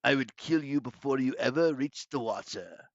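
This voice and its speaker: A man's voice: mid-range voice, expressive, dry recording, lo-fi sound. A man voicing a menacing monster in disguise, pompous and condescending, in a storybook reading. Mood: cold threat. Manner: pompous, condescending, deliberate villain delivery. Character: menacing, gloating male monster disguised as a schoolmaster.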